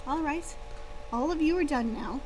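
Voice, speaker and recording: A woman reading a story aloud, voicing a calm, brisk and authoritative female school professor. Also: sits high, natural voice. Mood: brisk, commanding. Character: composed, soothing adult female school professor. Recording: clear background hum and noise.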